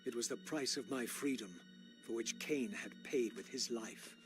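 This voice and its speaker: Male actor as undead avenger, grave, bitter, measured, formal, middling pitch, game drama, music underneath. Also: lightly acted, poor audio. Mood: brooding. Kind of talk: dramatic video game voice acting.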